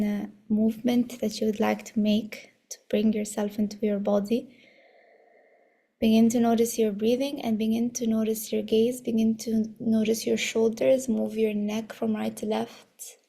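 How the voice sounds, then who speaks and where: Slow, creaky-voiced woman guiding meditation. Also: a little high. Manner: soothing, unhurried, gently instructive. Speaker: meditation guide leading a body scan. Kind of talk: guided meditation with breathing practice.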